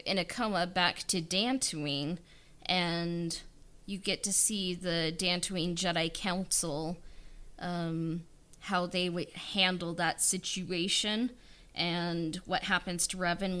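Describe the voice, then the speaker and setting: A woman chatting casually in an interview, pausing to search for her words. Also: on the low side, steady tone, sharp tone, clear, resonant tone. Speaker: podcast co-host, audio drama writer being interviewed.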